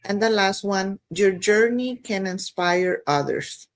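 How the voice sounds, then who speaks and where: A woman's voice: medium pitch, expressive, slow. A woman from Mexico, a panelist, reading discussion points aloud in a plain, list-like way.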